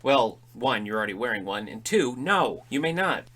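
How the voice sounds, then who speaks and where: A man's voice: medium pitch, big pitch swings, normal speed, a bit bright. An actor playing a wry, sarcastic, slightly uneasy middle-aged father in an audio drama comedy. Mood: dry, uneasy. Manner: dry, sarcastic. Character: wry, grumbling, uneasy father exploring an inherited house.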